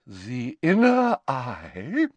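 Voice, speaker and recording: A haughty older woman who teaches divination, acted out by a male storybook reader. The voice is pitched high and swings widely, drawing words out with theatrical, mystical dignity. She sounds self-important and easily affronted, and the recording is close and dry. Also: very animated, measured pace, no room sound, heavily acted. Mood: haughty, indignant.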